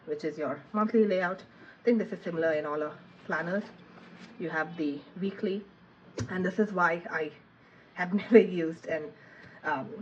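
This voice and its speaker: A woman's voice: fairly low pitch, muffled recording, slight background noise. A woman with a cold, chatting casually through a product unboxing review, thinking aloud with frequent ums. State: has a cold, sniffling. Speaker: planner reviewer.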